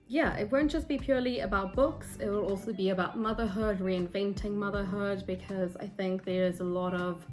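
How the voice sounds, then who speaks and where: A young woman from South Africa with a South African accent, her first language Afrikaans, chatting casually and self-deprecatingly to camera as a book vlogger, with frequent ums. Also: medium pitch. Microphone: phone or camera mic at desk.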